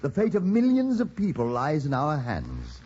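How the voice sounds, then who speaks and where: A man's voice: on the high side, wildly swooping pitch, normal speed, darkish tone, husky, exaggerated voice. An actor playing a grave, conciliatory older statesman and father in old-time radio drama, solemn and oratorical. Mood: weary, appealing. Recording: dull old radio recording.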